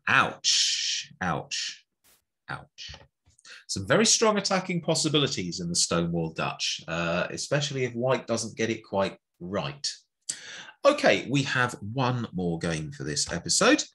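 A middle-aged man with a low, husky voice and a bright, forceful edge. He talks through chess moves one at a time. His manner is chatty and playful. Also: huge pitch swings, unhurried. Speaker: chess teacher. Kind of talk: chess lesson narration over an on-screen board.

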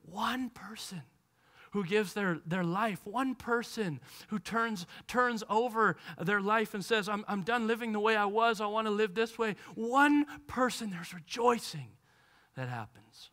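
Bright, high-voiced man preaching a sermon. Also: a little slow. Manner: animated, gestures widely and acts out voices from the text. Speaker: pastor. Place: large church stage with a lectern.

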